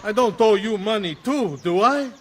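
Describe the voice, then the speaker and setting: Whiny old italian politician, game cutscene, theatrical n wry, voice jumps all over, bright, bit of noise. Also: male voice, high-pitched, animated, moderate pace. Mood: sardonic. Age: older. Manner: theatrical, self-pitying, sardonic. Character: anxious, wry, complaining old male Italian senator. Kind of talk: video game cutscene acting.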